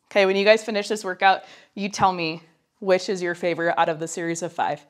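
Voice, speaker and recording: A woman's voice: lowish voice, fairly even intonation, fast talker. Nasal woman coaching a pilates workout. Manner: chatty, encouraging, counting down while exercising. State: getting over a cold, slightly breathless from exercise. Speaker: pilates instructor. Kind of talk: guided workout instruction. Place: small home studio, indoors.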